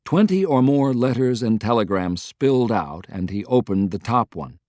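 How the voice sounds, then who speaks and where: A man's voice: mid-range voice, lively intonation, moderate pace, dark tone, no echo, studio quality. A man reading a historical novel as scripted, dramatic audiobook narration.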